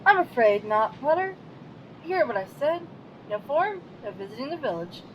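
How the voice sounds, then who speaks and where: A woman as strict older schoolteacher witch, high swooping voice, clipped and commanding, audio drama, rumbly noisy home recording. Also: big pitch swings, normal speed. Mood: firm, curt. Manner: clipped, authoritative. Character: stern older witch professor, strict and curt. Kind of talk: fan audio drama acting.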